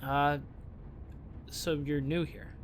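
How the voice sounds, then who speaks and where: A man's voice: on the high side, little pitch movement, extremely slow, slight background noise. A man in an audio drama playing an awkward, timid young man from a small town, hesitant and apologetic. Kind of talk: naturalistic audio drama acting.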